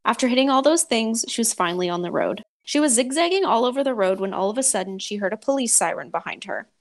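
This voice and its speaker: A woman's voice: on the high side, expressive, quick pace. A woman podcast host, talking and reading a story aloud in a dramatic way.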